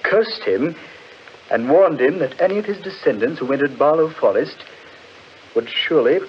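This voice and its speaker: An actor playing a sceptical gentleman in a 1940s radio horror drama, measured and declamatory. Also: a little high, expressive, close to an everyday voice. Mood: grave. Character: brisk, sceptical gentleman, dismissive of superstition. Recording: muffled, noisy 1940s radio recording.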